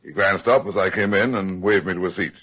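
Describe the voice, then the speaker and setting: A man's voice: sits low, fairly quick, breathy edge. An actor playing a world-weary heavyset male private detective in an old-time radio drama, dry, clipped and skeptical. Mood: matter-of-fact. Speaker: detective narrator. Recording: muffled old radio broadcast recording.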